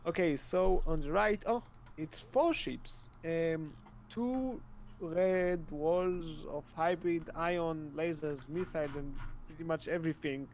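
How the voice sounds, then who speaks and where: Game caster, male, Hebrew native, easygoing and hesitant, a touch high-pitched. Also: takes it slowly, darkish tone. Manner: chatty, hesitant, laughs often. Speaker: tournament commentator. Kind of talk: casual game commentary. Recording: faint background noise.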